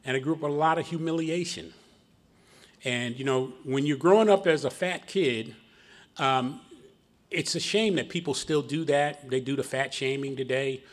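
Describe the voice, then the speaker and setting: A man's voice: mid-range voice, moderate pace. A middle-aged man with a Philadelphia accent, giving a folksy, self-deprecating personal testimony to an audience at a recovery meeting. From Philadelphia, Pennsylvania. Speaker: guest speaker at a recovery meeting. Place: meeting room before an audience.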